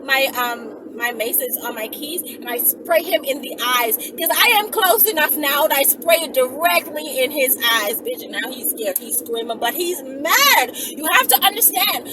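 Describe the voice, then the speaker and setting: A woman telling a story casually to her viewers in an animated, emphatic, sweary manner. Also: high-pitched, unhurried, few pauses. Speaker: channel host. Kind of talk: casual self-defense storytelling, acted-out scenario. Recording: distant mic with clear background noise.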